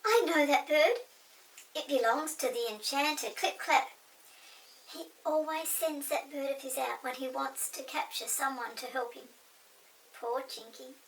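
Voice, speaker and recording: A woman voicing a kindly old female pixie mother, high, sweet and fluttery. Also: a little slow, recorded from a distance, a little hiss or hum, rough recording. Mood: tearful.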